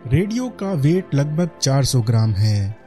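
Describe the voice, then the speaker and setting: A man's voice: medium pitch, big pitch swings, measured pace, mellow, faint music behind. A man with an Indian accent giving a product demonstration voice-over as a reviewer.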